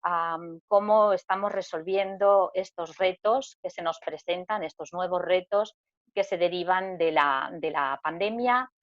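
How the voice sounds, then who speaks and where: A woman guest speaker on a video call, talking slowly and deliberately with many filler sounds. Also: fairly low pitch, dry recording. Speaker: guest speaker, network secretary general. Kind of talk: formal presentation at a video meeting. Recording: dull lo-fi call audio.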